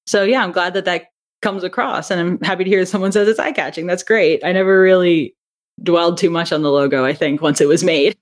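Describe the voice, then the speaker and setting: A woman's voice: lowish voice, brisk. Woman chatting as an interview guest. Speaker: podcast guest, audio drama writer and director. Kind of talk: casual interview chat.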